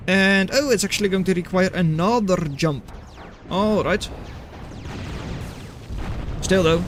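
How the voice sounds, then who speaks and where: Male streamer, fairly high voice, dry live replay commentary, faint battle sounds behind. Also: slight background noise. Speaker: game caster, streamer. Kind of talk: live esports-style replay commentary, chatting with viewers.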